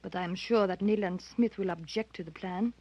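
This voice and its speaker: Earnest heroine, dull vintage radio sound. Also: female voice, mid-range voice, a little fast, dark tone, slightly rough. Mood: uneasy. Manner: earnest, questioning, melodramatic. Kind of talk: 1930s-40s radio drama acting. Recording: dull, lo-fi old radio recording.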